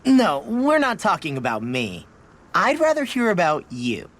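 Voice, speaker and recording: A man's voice: high voice, huge pitch swings, measured pace, fairly bright tone, full character voice. Teenage boy fighter, video game, brash, earnest, informal, very varied intonation. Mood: prodding. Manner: informal, pushy but earnest. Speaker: voice actor in a fantasy video game. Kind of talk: video game cutscene dialogue.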